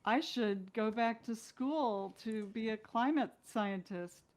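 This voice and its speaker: A woman's voice: on the high side, slow pace. A woman chatting casually as an interview guest, candid and given to questioning herself. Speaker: guest actress.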